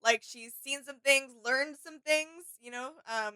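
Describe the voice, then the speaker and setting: High, bright woman, upbeat. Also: unhurried, no echo. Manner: agreeable, upbeat. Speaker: reaction video co-host. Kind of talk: casual chat, fan reaction.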